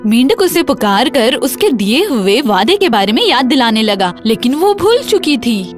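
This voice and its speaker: High, animated woman storyteller over soft music. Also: moderate pace. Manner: expressive, dramatic storytelling. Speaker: storyteller, narrator. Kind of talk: scripted children's fairy-tale narration.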